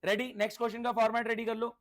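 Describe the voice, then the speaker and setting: Male teacher, notably high voice; interactive lesson delivery with repetition, check-in questions and English terms mixed in. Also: level tone, very fast, fairly bright tone. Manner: instructive, repeats points, checks understanding with questions. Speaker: accountancy teacher.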